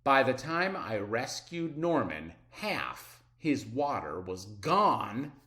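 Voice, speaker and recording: Unhurried, expressive man reading, roomy sound. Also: mid-range voice. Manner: expressive, dramatic pauses and drawn-out words. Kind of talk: animated children's story read-aloud.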